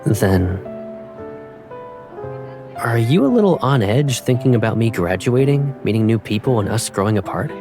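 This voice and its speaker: A woman's voice: a bass voice, lively intonation, normal speed, pauses often. A woman voicing a teasing, protective young man, an affectionate love interest in a romance game fan dub. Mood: gentle, teasing. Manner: intimate, teasing, probing. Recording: music behind the voice. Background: music.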